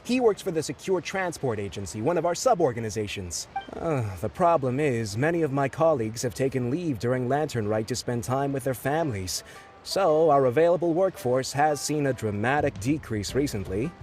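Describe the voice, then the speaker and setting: An actor playing a busy, polite male office clerk in a video game, speaking in a businesslike, slightly hurried way. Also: medium pitch, animated, a little mellow, faint music behind, natural voice.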